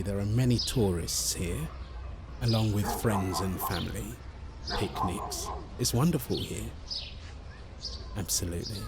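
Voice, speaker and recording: A man's voice: on the low side, background noise, natural voice. A man playing an earnest centuries-old vampire in love, polite and insistent, in an ASMR-style audio roleplay. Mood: friendly, charming. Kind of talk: ASMR audio roleplay, one-sided interview.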